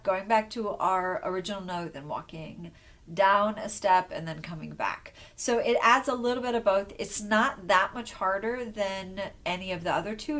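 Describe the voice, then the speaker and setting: A woman teaching a singing exercise, calmly explaining and then singing solfège steps with a piano. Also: mid-range voice. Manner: relaxed explaining, then sings solfège scales along with piano. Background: piano playing.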